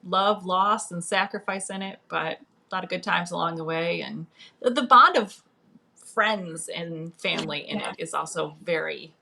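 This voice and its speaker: A woman's voice: fairly low pitch, measured pace, gravelly, sharp tone. A woman speaking as a guest author in a casual livestream, playful and informal in manner. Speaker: author guest on a book live stream.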